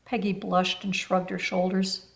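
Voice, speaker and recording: A woman reading a children's book aloud in expressive storybook narration. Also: on the low side, unhurried, creaky.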